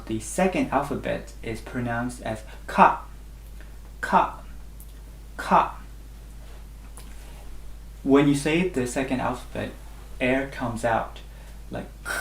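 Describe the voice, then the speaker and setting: A man's voice: medium pitch, creaky, fairly bright tone, roomy sound. A man whose first language is Burmese, teaching a language lesson and patiently repeating each sound three times. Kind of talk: slow instructional lesson.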